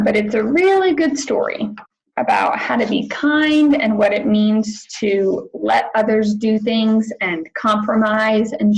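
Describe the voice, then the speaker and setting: A woman's voice: fairly high pitch, vocal fry, poor audio. A woman schoolteacher reading aloud and talking to young children in simple, emphatic phrases. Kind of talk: children's read-aloud and lesson.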